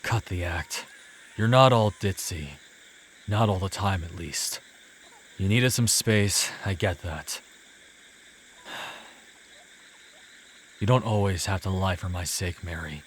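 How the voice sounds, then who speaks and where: Man acting slow, low-voiced brooding loner over music. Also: slight background noise. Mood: gruff, guarded. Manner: blunt, awkward, halting when flustered. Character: gruff, guilt-ridden male ex-murderer, shy in love. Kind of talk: audio drama dialogue. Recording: music under the voice.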